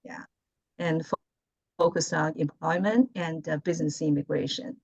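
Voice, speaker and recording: A woman from mainland China with a Chinese accent, chatting on a video call as a guest, pausing and searching for words. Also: low-pitched, fairly even intonation, gravelly, a little mellow, no echo. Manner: halting, searching for words, laughs often. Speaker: guest, lawyer. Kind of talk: casual video-call chat. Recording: muffled video call audio.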